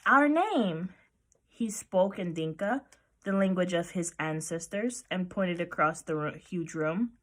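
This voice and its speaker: A woman reading a children's picture book aloud as narrator, with expressive storytelling. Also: mid-range voice.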